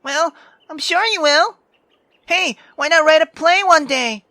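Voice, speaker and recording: A woman's voice: sits high, a little slow, bright, forceful tone, no room sound, pitched above the performer's own voice, exaggerated voice. A woman voicing a brash, sarcastic sixteen-year-old girl who is theatrical, teasing and foul-mouthed in a visual novel read-aloud. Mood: excited.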